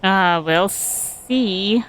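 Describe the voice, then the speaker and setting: A woman's voice: medium pitch, extremely slow, background noise. A woman streaming a game with casual commentary, hesitantly thinking aloud as she goes.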